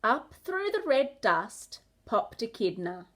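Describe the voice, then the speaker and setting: A woman narrates a picture book for children. Her delivery is expressive and very measured. Her voice is on the high side. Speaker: children's storyteller.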